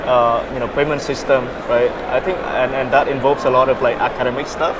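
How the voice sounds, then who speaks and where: A young man from Vietnam answers as a founder in an interview, and he stumbles and restarts with lots of "uh" and "you know". His pitch sits mid-range for a man. Steady traffic noise and passing vehicles are clear behind him. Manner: halting, many fillers and repeated words. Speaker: startup founder, interview guest.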